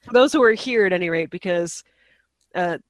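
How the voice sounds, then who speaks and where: A woman's voice: fairly low pitch, moderate pace, no room sound. A woman co-hosting a casual podcast, her voice congested from a cold, apologetic and laughing at herself. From United States. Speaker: podcast co-host. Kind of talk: casual chat.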